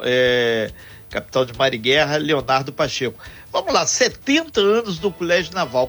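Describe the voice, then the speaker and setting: A man's voice: a little high, sharp tone. A male radio talk show host who speaks like an announcer, giving the time and introducing his guest.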